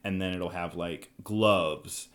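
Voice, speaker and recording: A man's voice: deep voice, fairly even intonation, takes it slowly, fairly bright tone. A man hosting a podcast, talking casually about board games in an explanatory way. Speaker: podcast host. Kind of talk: casual board game discussion.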